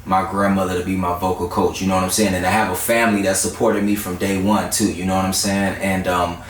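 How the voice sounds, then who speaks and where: A man's voice: sits low, level tone, fast. A man talking casually as an interview guest, a singer telling stories in slangy, conversational speech. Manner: slangy, conversational storytelling with frequent check-ins. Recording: echoey room, distant mic, faint noise.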